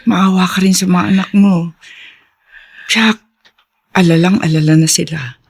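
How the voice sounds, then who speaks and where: A woman's voice: fairly low pitch, fairly even intonation, measured pace, slightly rough. A woman playing a caring but insistent older sister in a Filipino radio drama. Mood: firm, urging.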